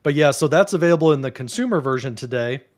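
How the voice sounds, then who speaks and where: Chatty male host, casual and relaxed. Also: fairly high pitch, fast talker. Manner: relaxed, chatty, peppered with "like" and "you know". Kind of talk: casual chat on a video call.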